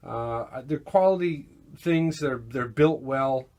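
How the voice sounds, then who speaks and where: A man giving a casual, informal product demo of audio gear, halting a little as he thinks aloud. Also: medium pitch, darkish tone. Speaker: gear seller demonstrating vintage audio equipment. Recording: noticeable background noise, amateur demo recording.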